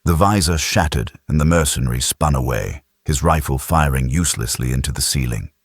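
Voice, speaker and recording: A man's voice: a bass voice, a little fast, breathy edge, no echo, clean studio sound. A man narrating a science fiction audiobook in a dramatic, scripted style.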